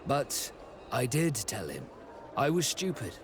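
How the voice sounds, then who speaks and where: An actor playing a proud, hot-headed sea captain in a video game. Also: male voice, mid-range voice, very animated, takes it slowly. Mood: reflective. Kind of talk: video game cutscene acting. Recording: clear background ambience noise.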